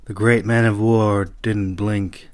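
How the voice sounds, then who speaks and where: A man reads an epic fantasy story aloud. His pitch sits a little low and his delivery is very slow. The storytelling is deliberate and dramatic. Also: flat delivery, darkish tone. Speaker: author reading own audiobook. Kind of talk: dramatic scripted fantasy narration.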